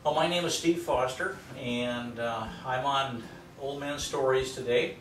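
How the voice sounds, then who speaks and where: An older man telling plainly, in a rambling way with many pauses, about his family's past. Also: medium pitch, fairly even intonation, a bit bright. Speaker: storyteller. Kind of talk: personal storytelling. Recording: echoey room, far from mic, music behind.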